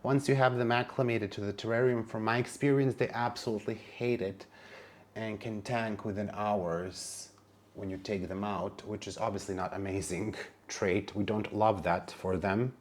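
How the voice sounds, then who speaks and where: A man's voice: fairly low pitch, fairly even intonation, normal speed. A man whose first language is Serbian, narrating a casual plant vlog in a chatty, wry manner with sassy asides. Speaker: plant vlogger.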